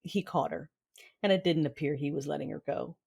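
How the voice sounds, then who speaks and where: A woman's voice: deep voice, fairly quick, creaky, a little mellow, husky, exaggerated voice. A woman acts out a proud Sith warlord mother in a space-opera audio drama. She is commanding and intense, with a vengeful temper, and she tells her own story in the first person. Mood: brooding. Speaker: narrator and lead character.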